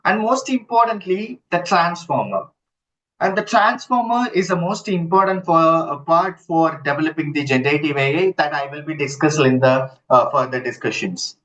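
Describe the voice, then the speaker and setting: A man giving a live online lecture, halting and repeating himself as he checks in with listeners, using his computer's built-in mic. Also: fairly high pitch, a bit bright. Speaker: instructor. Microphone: built-in computer mic, no headset. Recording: dull lo-fi computer audio.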